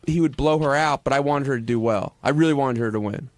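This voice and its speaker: A man in casual radio studio conversation, answering questions in a halting, defensive way with repeated restarts. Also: mid-range voice, no echo. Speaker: radio show regular, interviewed guest.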